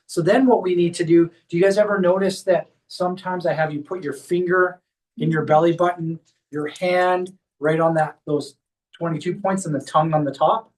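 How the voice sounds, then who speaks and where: A man's voice: on the high side, fairly even intonation, normal speed, far from the mic, poor audio. A man, a chiropractor, giving a hands-on demonstration workshop and explaining each step as he guides a volunteer. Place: clinic workshop room.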